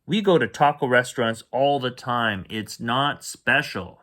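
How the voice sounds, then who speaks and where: A man voicing a firm, unimpressed old grandmother in a storybook, dismissive and drawn-out. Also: medium pitch, sharp tone.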